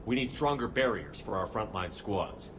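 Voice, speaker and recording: A man's voice: fairly low pitch, lively intonation, moderate pace, fairly bright tone, a little hiss or hum. An actor playing a hardened male human commander, giving clipped, matter-of-fact orders in a game cutscene. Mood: steady. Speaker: military commander. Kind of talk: video game cutscene acting.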